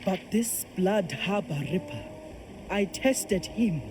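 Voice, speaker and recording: A woman's voice: medium pitch, expressive, slow pace, background noise. A woman playing a proud, commanding and devout kraken priestess in a video game, solemn and declamatory. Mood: troubled. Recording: music behind the voice.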